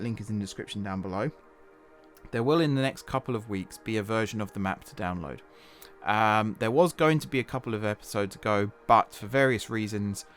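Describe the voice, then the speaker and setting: A man, slightly hoarse, narrating his own gameplay in a rambling, self-correcting, casual voice-over. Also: lowish voice. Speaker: gaming channel host. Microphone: desktop voice-over mic.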